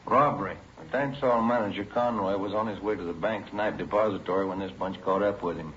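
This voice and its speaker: An actor playing a grumbling, easygoing police detective partner, laconic and low-key, in 1940s radio drama style. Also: male voice, fairly even intonation, a little fast, fairly bright tone, lightly acted. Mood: businesslike. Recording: muffled, noisy 1940s radio broadcast.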